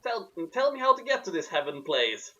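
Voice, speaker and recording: A man's voice: sits high, very animated, moderate pace, bright, forceful tone, highs cut off, exaggerated voice. A man voicing a gullible, eager eleven-year-old boy wizard in a wistful, squealing way.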